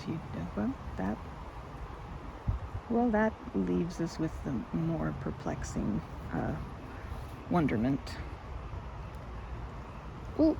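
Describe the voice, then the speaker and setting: A woman's voice: fairly low pitch, creaky, highs cut off, background noise, full character voice. A woman playing a timid, socially anxious young woman in an ASMR roleplay, stammering and rambling nervously as she corrects herself. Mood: awkward, apologetic. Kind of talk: ASMR roleplay, intimate one-sided romantic dialogue.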